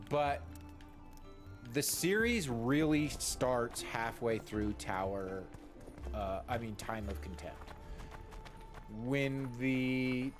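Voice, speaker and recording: A man chatting casually and ramblingly as a game streamer, informal and halting, leaning on key words. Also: mid-range voice. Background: game music.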